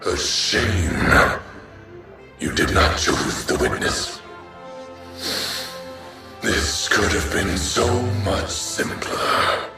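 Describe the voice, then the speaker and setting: A man playing a menacing godlike game villain of pain and terror, with grandiose, breathy, otherworldly delivery and cavernous processing. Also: sits low, very animated, sharp tone, heavily acted. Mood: taunting. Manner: slow, grandiose, savoring menace. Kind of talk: theatrical video game villain monologue. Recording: echoey, distant voice over music.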